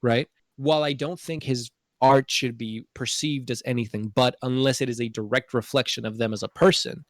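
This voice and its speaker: A man's voice: mid-range voice, no echo. Earnest man explaining his opinion, dry close sound. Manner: earnest, explanatory, checks in with "right?". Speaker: podcast host. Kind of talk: casual opinion discussion.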